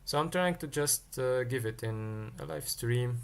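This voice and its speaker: A man's voice: medium pitch, unhurried, vocal fry, clean tone. Man teaching on a livestream. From Tunisia. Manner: thinks aloud, answers chat questions as they come in. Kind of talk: live-streamed coding lesson.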